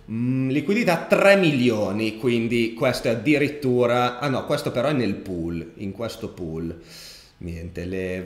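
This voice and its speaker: A man in his thirties hosting a casual live stream, thinking out loud with plenty of fillers and restarts. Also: medium pitch. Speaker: crypto streamer. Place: home room, seated in gaming chair. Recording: roomy echo.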